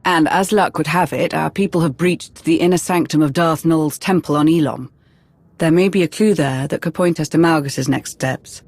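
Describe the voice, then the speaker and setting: An actress playing a cool, calculating imperial intelligence officer in a video game cutscene, speaking in a formal, precise way. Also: female voice, low-pitched, moderate pace, darkish tone, no room sound. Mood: composed briefing.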